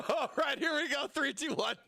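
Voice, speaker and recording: A man hosting a livestream giveaway, excitable and playful as he hypes up his chat, his voice strained from overuse. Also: very high-pitched, vocal fry. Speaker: livestream host.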